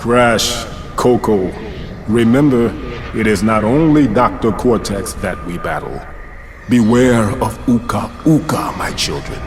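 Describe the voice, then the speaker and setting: A man's voice: fairly low pitch, lively intonation, unhurried, music in the background. An actor playing a grave, kindly guardian spirit in a video game, giving solemn, fatherly warnings. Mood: grave, protective. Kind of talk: video game cutscene acting.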